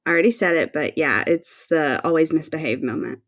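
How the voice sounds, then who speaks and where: A woman's voice: low voice, brisk, no echo. A woman co-hosting a podcast, talking about a favorite show in a casual, enthusiastic way. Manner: informal, enthusiastic. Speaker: podcast co-host. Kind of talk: casual fan discussion of an audio drama.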